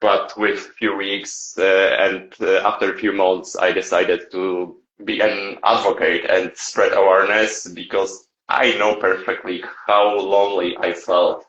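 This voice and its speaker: Hoarse low-voiced man, slow and halting. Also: little pitch movement, a bit bright, dull audio. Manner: halting, repeats words, restarts. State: losing his voice, sore throat. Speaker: live stream host, meme creator. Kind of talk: casual live stream chat. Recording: echoey room.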